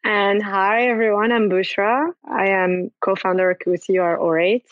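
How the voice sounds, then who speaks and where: A woman's voice: mid-range voice, moderate pace, dry recording, dull audio. A woman in her thirties from Morocco, a company co-founder answering interview questions in a talkative way and leaning on "basically". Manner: talkative, repeats words and fillers like "basically".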